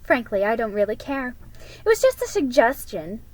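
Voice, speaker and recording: A woman's voice: a little high, very animated, normal speed, a little mellow, pitched above the performer's own voice, rough recording. A woman voicing a haughty, fussy older girl in a storybook, scornful and prim in manner. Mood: dismissive.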